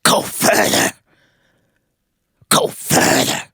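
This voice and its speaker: A woman voicing a giant, solemn bullfrog spirit in a storytelling performance, with a breathy croak and slow commands. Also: fairly bright tone, dry recording, clean studio sound. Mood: solemn, commanding.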